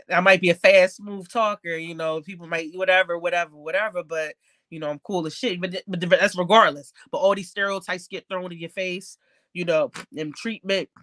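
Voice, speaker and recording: A woman's voice: lowish voice, a bit bright, dry recording, clean studio sound. A woman with a slightly stuffed-up nose chatting candidly and casually as a podcast guest, with slang and swearing. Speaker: podcast guest, card collector. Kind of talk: casual interview chat.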